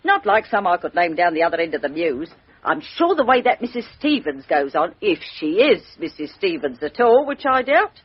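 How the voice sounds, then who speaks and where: An actress playing a gossipy, opinionated chauffeur's wife, chatty and deferential, in a radio drama. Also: female voice, on the low side, sing-song, moderate pace, slightly rough. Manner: chatty, emphatic, deferential, rambling. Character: gossipy, respectable working-class chauffeur's wife, eager and opinionated. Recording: dull, band-limited radio drama recording.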